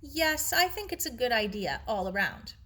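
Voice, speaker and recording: A woman's voice: a little high, sing-song, moderate pace, bright, forceful tone, voice pushed up, heavily acted. A woman voicing a kindly, composed aunt in a storybook, affectionate and reassuring. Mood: pleased, reassuring.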